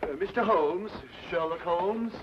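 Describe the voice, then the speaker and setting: A man's voice: high voice, measured pace. A man playing a stern, suspicious middle-aged father with a blustery, grudging manner in an old radio drama. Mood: gruff, indignant. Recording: dull old radio recording, faint noise.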